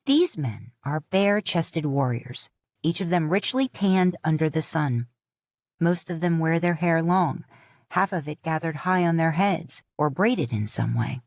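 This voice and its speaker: A woman's voice: low voice, darkish tone, dry recording, studio quality. A woman reading a scripted story aloud in the third person, as an audiobook narrator. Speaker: fan fiction audiobook narrator.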